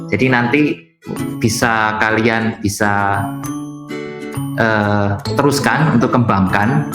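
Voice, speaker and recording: A man explaining things haltingly as the instructor of an informal online workshop. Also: mid-range voice. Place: indoor room on an online video call. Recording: roomy echo, music underneath. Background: soft guitar music.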